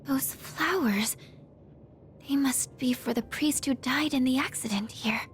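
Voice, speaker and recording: An actress playing a caring, excitable teenage girl in a video game, earnest and expressive. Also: high-pitched, a little slow, faint music behind. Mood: puzzled, gentle.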